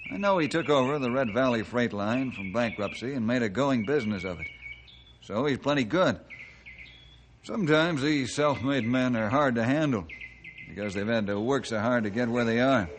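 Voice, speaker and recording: A man playing a steady, authoritative forest ranger in a 1950s radio adventure, plain-spoken and earnest as he explains things. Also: mid-range voice, moderate pace, close to an everyday voice. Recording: noisy old 1950s radio recording.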